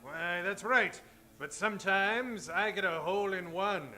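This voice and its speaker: A man's voice: high-pitched, a little slow, sharp tone, soft background music. A man playing a wisecracking, theatrical detective hero in an improvised old-time radio parody. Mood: wry, confident.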